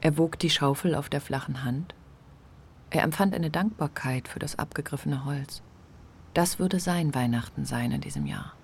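A woman's voice: deep voice, big pitch swings, normal speed, slight background noise. A woman narrating a radio play in a literary, scripted style.

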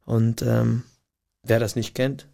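Gravelly-voiced American man as a studio guest, talking in a non-native language with pauses and restarts. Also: medium pitch, level tone, dark tone. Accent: American English. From Puget Sound region, USA. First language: not German. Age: adult, past university. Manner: pauses to think, restarts phrases, laughs. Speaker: radio guest. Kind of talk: casual interview talk. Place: radio studio. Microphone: studio microphone.

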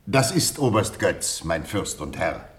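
An actor playing a formal, methodical field marshal in a classical German radio play, speaking in measured, emphatic dictation. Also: male voice, sits low, very animated.

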